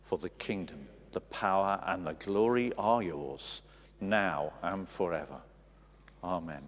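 A man leading a church service, reading the Lord's Prayer and giving out the next hymn in a slow, deliberate way. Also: low voice. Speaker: service leader. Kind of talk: leading liturgy. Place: large church, indoors. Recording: long church reverb.

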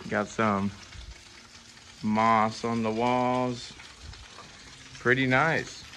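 A man's voice: medium pitch, steady tone, very slow, sharp tone, a little hiss or hum. A young man with a West Virginian accent, narrating a casual hiking vlog outdoors on a wooded trail, easygoing and chatty. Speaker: vlogger.

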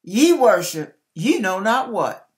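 An older woman in about her sixties gives a dramatic Bible reading as a preacher, emphatic and deliberate, stretching words and pausing between phrases. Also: mid-range voice, sing-song. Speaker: online preacher reading scripture aloud. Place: small indoor room with curtain behind.

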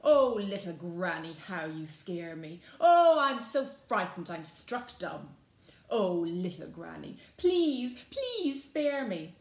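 A woman's voice: fairly low pitch, very animated, slow, heavily acted. A woman voicing a young male hoodie gang leader, a cowardly bully, in an exaggerated storybook style. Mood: mock fear, sneering. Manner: exaggerated, mocking or stammering. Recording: roomy echo.

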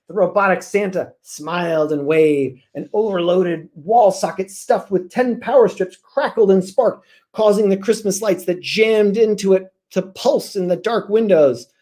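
An animated American man in his forties speaks on a video call from a room at home. He has a theatrical delivery, like an author sharing stories with children. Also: sits high. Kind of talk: dramatic storybook reading and casual chat. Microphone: laptop mic on video call.

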